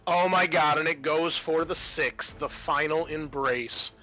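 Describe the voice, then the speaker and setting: Bright-voiced man running a tabletop game. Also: a little high, soft background music, rough recording. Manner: halting, casual, profane. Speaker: game master. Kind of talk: improvised narration of a tabletop horror game.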